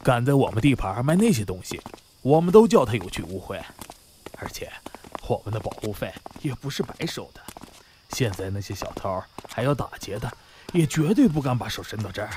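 Fawning gang underling, wildly animated, played by a man. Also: mid-range voice, brisk, a little hiss or hum, natural voice. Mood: boastful. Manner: obsequious, eager to please. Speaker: voice actor in a fan audio drama. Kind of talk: Chinese audio drama acting.

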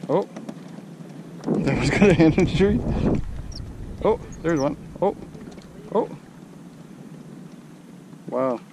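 A man's voice: a little high, expressive, drawn-out words, long pauses. Playful man fishing from a windy boat. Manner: playful, exclaiming, hums and sings to himself. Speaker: boat owner filming a fishing trip. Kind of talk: casual running commentary. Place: small motorboat on a lake, outdoors. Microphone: action camera on the boat. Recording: loud wind buffeting the mic. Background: wind and boat noise.

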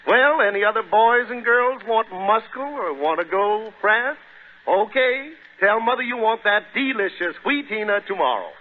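A man's voice: sits high, expressive, normal speed. A man announcing a 1930s radio show in a folksy, enthusiastic sales style. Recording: tinny 1930s radio recording, music behind.

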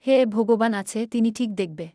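A woman's voice: a little high, moderate pace, noisy background, close to an everyday voice. A woman playing an anxious, consoling village wife and mother in a cartoon story.

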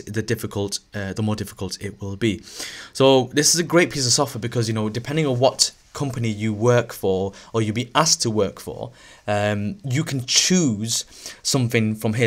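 A male teacher narrating a screen-recorded lesson, a little hoarse as he is losing his voice, talking through each step with fillers and restarts. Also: fairly low pitch. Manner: relaxed, thinking aloud with fillers and restarts.

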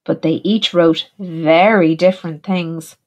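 A woman teacher reading a picture book aloud in an expressive, deliberate way for young children. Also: low voice, lo-fi sound. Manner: animated, deliberate, puts questions to young listeners. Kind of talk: expressive storybook narration for children.

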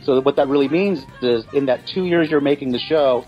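A man's voice: medium pitch, brisk, muffled recording. Man in interview, music behind. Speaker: animation producer being interviewed. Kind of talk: casual interview talk.

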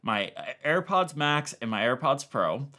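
A man's voice: medium pitch, animated, measured pace, pushed and bright. A man, explaining things step by step in a casual tech tutorial style. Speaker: tech video host. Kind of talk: explanatory tutorial narration to camera.

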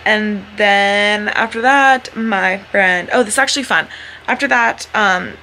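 A young woman with an American accent chatting casually and excitably to a vlog camera held at arm's length. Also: mid-range voice, measured pace. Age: teens to young adult. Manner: bubbly, giggly, exclamatory. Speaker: vlogger, high school student. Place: car, classroom, gym, bedroom. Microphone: handheld camera at arm's length. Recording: handheld vlog audio, chatter and background noise.

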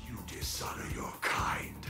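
A man's voice: unhurried, whispered, close to an everyday voice. Man playing echoing, vengeful undead ninja. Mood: scornful. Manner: menacing, declamatory. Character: vengeful undead male ninja warrior, fierce and proud. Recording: echoing voice over loud music.

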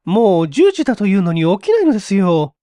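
A man's voice: high-pitched, huge pitch swings, brisk, dark tone, no room sound, pitched above the performer's own voice. A man voicing an impatient, fretful young married woman, urgent and complaining, in a story reading.

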